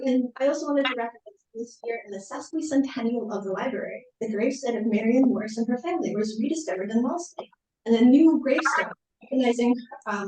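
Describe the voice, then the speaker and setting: Soft-spoken woman, echoey and distant. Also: on the high side. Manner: soft-spoken, with frequent fillers. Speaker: library director. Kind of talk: community presentation. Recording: echoey room, far from mic.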